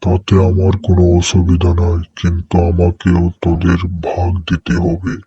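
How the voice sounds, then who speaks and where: A man playing a gruff, greedy, threatening big beast uncle in a cartoon fable. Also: low voice, monotone, moderate pace, dark tone, dry recording, highs cut off. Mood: gruff, menacing. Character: menacing, greedy big male beast, an uncle to smaller animals. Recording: music behind the voice.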